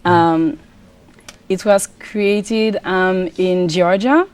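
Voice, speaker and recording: A woman's voice: on the low side, steady tone, clear, resonant tone. A young woman from Congo with a French accent, a pageant contestant answering interview questions earnestly, often restarting her sentences. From Democratic Republic of Congo. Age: young adult. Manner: earnest, halting with many restarts. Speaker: pageant contestant, student guest. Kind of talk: casual interview talk.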